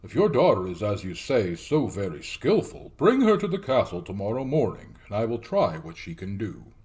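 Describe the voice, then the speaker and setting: A man voicing a greedy, commanding fairy tale king in an imperious, measured storybook character voice. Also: on the low side, big pitch swings, a little mellow.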